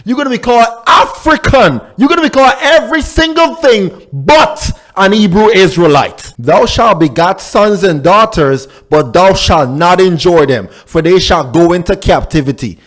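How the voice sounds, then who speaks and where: High-voiced Guyanese-raised man preaching. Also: animated, fairly bright tone. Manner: drawn-out emphatic scripture reading, sing-song rises; casual street talk between readings. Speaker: religious teacher. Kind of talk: preaching scripture lesson.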